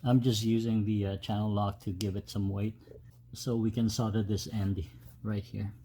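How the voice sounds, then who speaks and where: A man giving a casual, unscripted step-by-step tutorial as a DIY host, with halting delivery. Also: lowish voice, little pitch movement, dark tone. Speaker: host of a DIY truck modification video. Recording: clear background noise, ambient sound.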